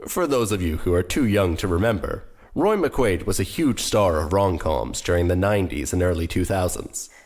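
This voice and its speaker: A man playing a theatrical, probing stage host in an audio drama, with a deliberate, rhetorical delivery. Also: sits low, expressive, a little mellow. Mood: probing, controlled. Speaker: narrator and on-stage interviewer. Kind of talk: scripted stage narration.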